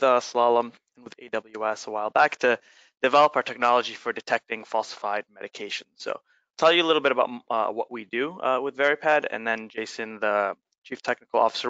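A man's voice: medium pitch, pushed and bright, clear, resonant tone, no room sound, highs cut off. A man from Egypt, a startup founder, giving an earnest webinar presentation with many fillers.

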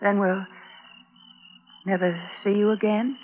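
A woman's voice: mid-range voice, steady tone, slow. An actress playing an anxious, pleading homesteader wife and mother in an earnest old-time radio drama style. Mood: anxious, tender. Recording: muffled, slightly noisy vintage radio recording.

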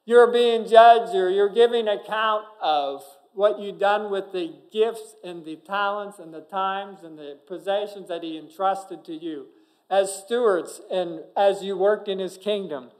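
High-voiced middle-aged male pastor preaching. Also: a little slow, poor audio. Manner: earnest preaching with sudden loud emphasis and many restarts. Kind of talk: sermon. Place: church sanctuary behind a pulpit. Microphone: pulpit microphone, far camera.

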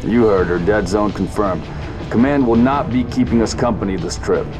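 An actor playing an authoritative military squad commander in a video game, with a measured, clipped delivery. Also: male voice, on the low side, dull audio, close to an everyday voice. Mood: briefing, firm. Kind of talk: video game cutscene acting. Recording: music behind the voice.

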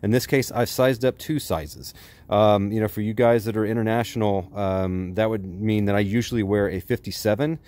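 American guy with a kinda deep voice chatting through a casual gear review outside. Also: steady tone, moderate pace, darkish tone. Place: outdoors in a backyard.

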